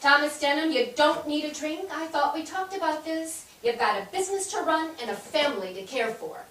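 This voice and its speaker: Woman acting a high-pitched, animated, firm-willed wife. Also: fairly quick. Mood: scolding. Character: practical, firm-willed immigrant wife and mother of many. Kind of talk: staged play reading. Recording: echoey stage, distant mic, background hiss.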